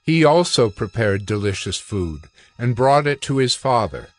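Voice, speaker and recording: A man reads scripture as a narrator. His voice is medium in pitch, and he delivers scripted storytelling between the actors' lines. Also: sing-song, unhurried, faint music behind.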